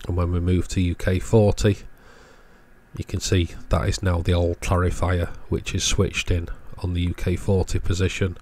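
A man's voice: sits low, level tone, moderate pace, darkish tone, a little hiss or hum. A man giving an informal technical walkthrough as host of an electronics repair video.

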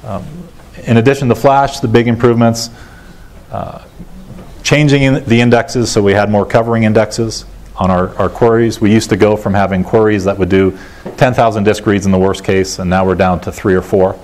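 A middle-aged American man giving a technical conference talk in a measured, matter-of-fact way, pausing often as he goes. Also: fairly low pitch, steady tone, a little mellow. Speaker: conference keynote speaker. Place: large lecture auditorium, indoors. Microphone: lapel mic.

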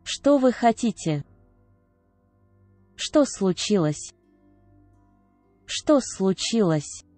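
A woman reading short phrases aloud one at a time, each clearly enunciated and measured. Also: mid-range voice, sing-song, warm tone, no room sound, faint music behind. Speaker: Russian phrase reader. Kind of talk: slow repeated phrase reading.

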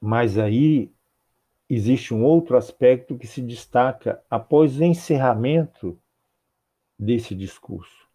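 Older man, Brazilian accent, mellow voice, measured rhythmic sermon, video call with earphone mic. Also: mid-range voice, slightly rough. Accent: Brazilian Portuguese. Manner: measured, rhythmic phrasing with repeated clauses. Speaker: spiritist lecturer. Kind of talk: religious talk. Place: home office with bookshelves.

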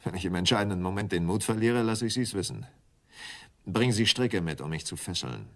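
A cool, calculating male schemer in a radio crime play. His voice is very low yet moves freely in pitch, as he lays things out with controlled command. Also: animated, a little fast. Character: cool, calculating husband plotting a staged kidnapping. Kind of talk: radio crime drama acting.

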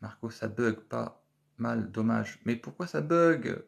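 A man, hosting a casual live stream from a small office and answering viewer comments, chatty and repetitive with many filler pauses. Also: mid-range voice, lively intonation, vocal fry. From France. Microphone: phone camera at arm's length.

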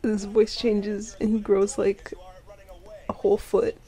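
A young woman doing girlfriend ASMR roleplay in a soft, sleepy voice that often drops to a whisper, murmuring affectionately and drowsily. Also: medium pitch, flat delivery, slow. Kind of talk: ASMR girlfriend roleplay, casual intimate chat.

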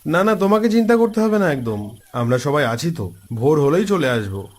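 A man voicing an easygoing young village host in a cartoon story, animated and conversational. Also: a little high, brisk. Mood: reassuring. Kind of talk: cartoon story dialogue, casual.

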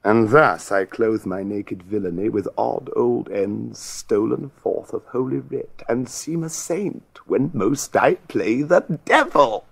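An actor playing a scheming, mock-pious royal duke, sly and sardonic, in a Shakespearean drama. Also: male voice, medium pitch, slow pace, vocal fry, few pauses, slightly rough, full character voice. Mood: sly gloating. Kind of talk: theatrical Shakespearean acting.

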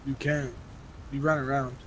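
A man's voice: medium pitch, level tone, moderate pace, low-quality recording. A man bantering casually while playing a video game, using slangy, profane talk. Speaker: gamer streamer playing a roleplay server. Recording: game audio with vehicle noise. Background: video game ambience, cars.

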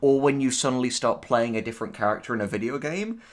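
Male co-host, mid-pitched, casual and opinionated, with emphatic phrasing. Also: animated, quick pace. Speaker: writer co-hosting a reaction discussion.

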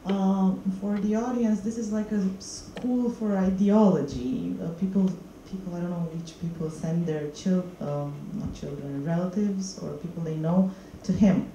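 A woman's voice: fairly low pitch, unhurried, few pauses, dark tone. A woman moderating an on-stage talk after a screening, asking long, hesitant questions into a handheld mic. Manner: hesitant, many fillers and restarts. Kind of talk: stage Q&A interview. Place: stage of a screening hall, seated. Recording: muffled echoey hall, faint noise.

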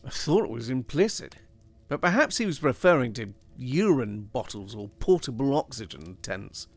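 A man's voice: medium pitch, big pitch swings, normal speed, creaky, faint music behind, pitched above the performer's own voice. A man reading aloud and voicing a dry, sardonic husband who makes light of a guest's arrival. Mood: wry.

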